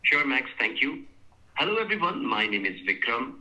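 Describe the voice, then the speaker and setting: A man's voice: medium pitch, sing-song, normal speed, pushed and bright, rough recording. A man from North India with an Indian accent, a voiceover artist whose first language is Hindi, introducing himself politely over a call.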